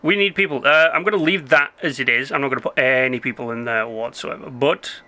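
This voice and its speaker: Bright-voiced man narrating gameplay. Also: mid-range voice, a little fast. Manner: chatty, rambling, self-interrupting. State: losing his voice. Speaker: gameplay commentator. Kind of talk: casual gameplay commentary.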